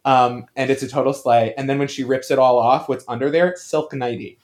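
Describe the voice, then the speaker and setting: A man's voice: mid-range voice, steady tone, brisk, a little mellow. Campy, emphatic man with a mid-range voice. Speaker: podcast panelist. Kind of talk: casual pop-culture commentary.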